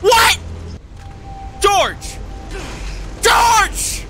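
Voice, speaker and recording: A man giving loud, crude, excitable commentary while he plays a video game, voice going hoarse. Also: extremely high pitch, drawn-out words. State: hoarse, losing his voice. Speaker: gamer streaming gameplay. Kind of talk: casual gameplay commentary. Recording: game music and sounds behind.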